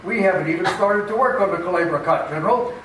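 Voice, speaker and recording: A man's voice: sits high, fast, a bit bright, a little hiss or hum. Casting: man to play pompous hearty madman who thinks he's President, radio play, heard distantly in a very reverberant room. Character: deluded, boisterous nephew who believes he's the President. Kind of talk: radio theater acting. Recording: very echoey room, distant mic.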